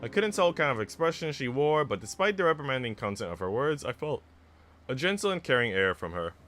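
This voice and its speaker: A man talks and narrates as a game streamer, with a mid-pitched voice that moves around expressively. His delivery is conversational and musing, shifting into storybook-like reading of a visual novel. Music plays behind him. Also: very animated, quick pace, a bit bright. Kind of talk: casual livestream chat mixed with expressive story narration. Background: background music.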